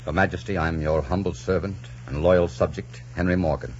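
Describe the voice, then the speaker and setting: An actor playing a bitter, jealous, proud privateer captain in intense, brooding 1940s radio drama style. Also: male voice, low-pitched, fairly even intonation, brisk, a little mellow, breathy edge, soft background music. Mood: grim resignation. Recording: noisy, muffled 1940s radio recording with hum.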